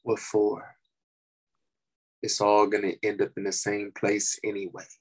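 Casting: male actor as gravely ill man, thirty-five, low register, embittered and tired, stage drama. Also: completely flat delivery, unhurried, low-quality recording. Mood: defeated. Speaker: actor at a table reading. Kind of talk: dramatic script reading.

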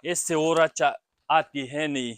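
A young man selling blankets in his small shop, eagerly pitching prices and agreeing with quick repeated yeses. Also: steady tone. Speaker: blanket shopkeeper. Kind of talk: sales pitch to a vlogger.

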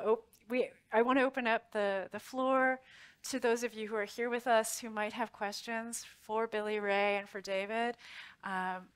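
Brief: female professor in her forties, moderator on stage, relaxed and quick to laugh. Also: on the high side, clean tone. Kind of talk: moderating a public panel. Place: large auditorium stage. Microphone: headset mic.

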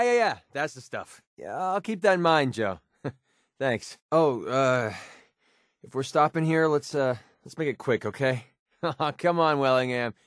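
An actor playing a cocky, sarcastic young mercenary companion in a video game, with an American accent and a wry, grumbling, slangy delivery. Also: male voice, medium pitch, dry recording, clean studio sound, natural voice. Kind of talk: video game character dialogue.

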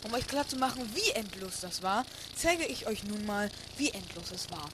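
Male storyteller for comic audio drama, lighter high register, scripted first-person narration, clear background noise. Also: lively intonation, normal speed. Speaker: narrator and lead character. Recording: clearly noisy homemade recording.

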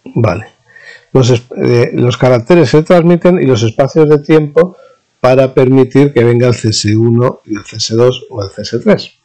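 Male instructor, medium-pitched voice, informal hands-on tech demo, explanatory, stop-and-start delivery with self-corrections. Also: normal speed, warm tone. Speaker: radio communications instructor. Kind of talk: informal hands-on technical demonstration narration.

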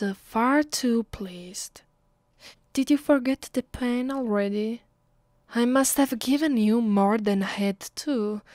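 A woman acting out a haughty vampire mistress with an Italian accent, speaking slowly and deliberately. She is domineering and cruel, giving orders in an intimate ASMR roleplay style. The sound is dry and close. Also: on the high side, a little mellow, no room sound. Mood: sensual, gloating. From Italy. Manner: commanding, deliberate. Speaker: voice actress. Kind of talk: ASMR roleplay, close and slow with whispered moments.

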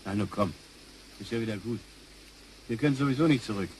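An actor playing a grumpy, excitable old grandfather in a radio play, prone to exclaiming and cursing. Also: on the low side, a little fast, close to an everyday voice, low-quality recording. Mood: resigned, cautious. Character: grumpy old grandfather, excitable tinkerer piloting time machine. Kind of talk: German radio play acting. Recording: loud noise behind the voice.

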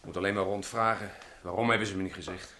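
A man's voice: deep voice, steady tone, quick pace, sharp tone. An actor playing a polite, hesitant village policeman asking around in an old radio drama. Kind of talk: vintage Dutch radio drama acting.

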